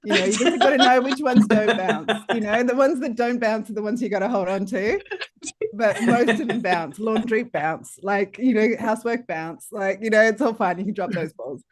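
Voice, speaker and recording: A woman's voice: mid-range voice, normal speed. A woman chatting casually as a podcast creator, with a breezy, matter-of-fact and humorous way of talking. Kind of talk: casual chat.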